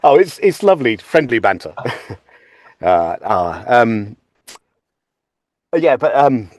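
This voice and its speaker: A British man chatting casually as an actor guest on a panel, with theatrical, halting phrasing. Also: mid-range voice, big pitch swings, no echo. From United Kingdom.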